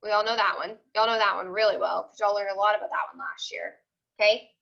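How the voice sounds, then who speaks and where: A woman's voice: mid-range voice, steady tone, fast talker, vocal fry. A woman teaching children in an informal online class, explaining things step by step and often checking they follow. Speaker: elementary school teacher. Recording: dull, lo-fi video call audio.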